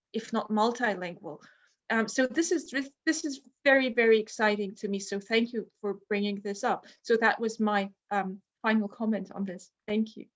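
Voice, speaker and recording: Earnest woman host, fairly high voice, thoughtful wrap-up remarks on a video call. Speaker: series host. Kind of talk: casual panel talk.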